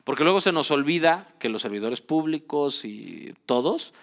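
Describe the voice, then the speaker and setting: A man with a Mexican accent hosting a casual interview, talking in a relaxed way with frequent fillers and restarts. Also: a little high, moderate pace, creaky. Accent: Mexican Spanish. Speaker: host.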